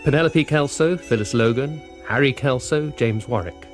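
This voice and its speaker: A man's voice: medium pitch, normal speed. A man reading a scripted cast and credits announcement as a radio announcer. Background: music.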